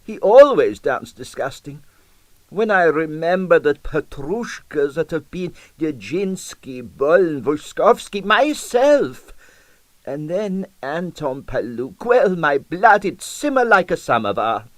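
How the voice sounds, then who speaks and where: Vain old Russian dancer, pitched high. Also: male voice, very animated, slow pace, no echo. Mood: indignant. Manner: boastful, plaintive, broken English.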